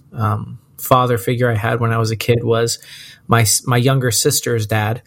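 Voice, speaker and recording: A man from Oregon, a pastor, talking casually about his life with fillers and restarts. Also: mid-range voice. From Oregon, USA. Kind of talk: conversational interview answers.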